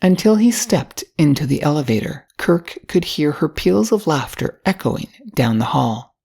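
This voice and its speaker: Low, gravelly mature woman narrator. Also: big pitch swings, a little slow, dark tone. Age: older. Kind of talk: scripted short story narration.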